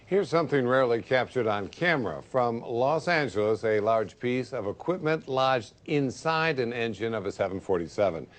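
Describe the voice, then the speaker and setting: A man anchoring local TV news, reading stories with a measured delivery and light banter in the studio. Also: lowish voice. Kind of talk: read local TV news copy.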